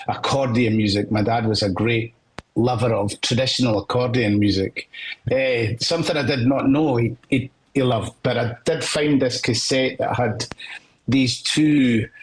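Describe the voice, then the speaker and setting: A man's voice: mid-range voice, breathy edge, low-quality recording. A Scottish man, a singer-songwriter guest on a podcast, telling his story in an earnest, reflective way. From Scotland. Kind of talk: podcast interview.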